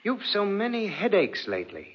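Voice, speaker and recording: An actor playing a suspicious aristocratic husband in a 1940s radio drama, with silky, deliberate, insinuating politeness. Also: male voice, high-pitched, huge pitch swings, breathy edge, heavily acted. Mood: veiled menace. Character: suave, suspicious, menacing husband, an aristocratic lord. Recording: dull, muffled 1940s radio recording.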